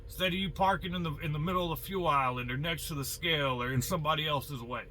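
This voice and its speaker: American trucker guy, pretty high voice, super sharp and forceful, blunt folksy rant to viewers. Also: fast talker, a little hiss or hum. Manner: blunt, folksy, mild swearing, rhetorical questions. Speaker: truck driver giving advice. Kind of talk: casual opinionated monologue to viewers.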